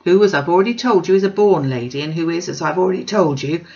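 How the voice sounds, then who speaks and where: A woman's voice: on the low side, quick pace, darkish tone, muffled recording, close to an everyday voice. A woman voicing a pompous, self-satisfied wealthy man, emphatic and dismissive, in a storybook reading. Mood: scolding.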